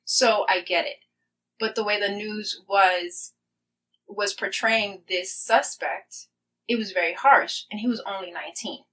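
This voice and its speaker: A woman's voice: medium pitch, a little slow, a bit bright, far from the mic, low-quality recording. A woman talking earnestly and reflectively as a guest in a podcast interview, stressing points by repeating them. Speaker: playwright guest.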